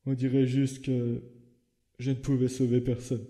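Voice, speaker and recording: Male actor as a guilt-ridden skeleton, very dark muffled-soft tone, weary, subdued, halting, dramatic fan comic dub. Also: mid-range voice, completely flat delivery, lightly acted. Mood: sorrowful, guilty.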